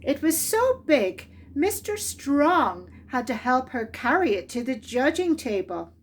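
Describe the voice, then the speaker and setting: A woman reading a children's storybook aloud as a storytime reader, in a deliberate, expressive way meant for young listeners. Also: high-pitched, slight background noise.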